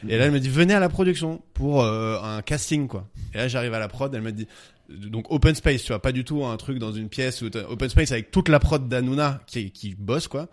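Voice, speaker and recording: A man, a podcast guest, telling a funny anecdote in casual chat. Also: medium pitch. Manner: fast, animated anecdote telling with laughs.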